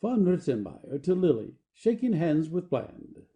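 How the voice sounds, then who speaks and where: A man reading the stage directions of an audio play as expressive scripted narration. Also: a little high, a little slow.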